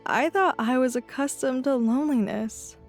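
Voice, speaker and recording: A woman's voice: sits high, moderate pace, faint music behind. A woman playing a sorrowful, withdrawn young girl, brooding in an anime-style audio drama inner monologue. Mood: bleak, resigned. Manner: brooding, introspective.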